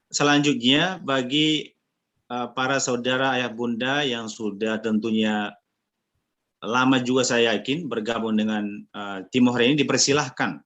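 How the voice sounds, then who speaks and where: A man's voice: mid-range voice, a bit bright. Polite man hosting over dull call audio. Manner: polite, deliberate, formal address. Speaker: host. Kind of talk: hosting an online gathering. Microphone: video call.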